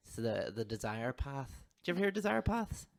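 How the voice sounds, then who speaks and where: A man's voice: medium pitch, very animated, a little fast, vocal fry. A Northern Irish man co-hosting a casual podcast, rambling and restarting his sentences, with a slight cough. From Northern Ireland. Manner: rambling, self-interrupting, laughs easily. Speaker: podcast co-host, counsellor. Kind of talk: casual chat.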